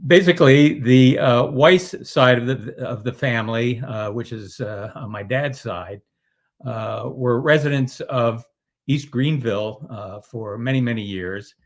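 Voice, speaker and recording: An older man answering interview questions as a guest, halting and full of filler sounds. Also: mid-range voice.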